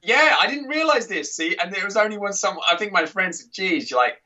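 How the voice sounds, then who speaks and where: A man's voice: sits high, expressive, fairly quick, sharp tone, far from the mic, dull audio. A man with an English accent, a podcast guest and author chatting casually, rambling with restarts and laughing often. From England. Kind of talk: casual interview chat.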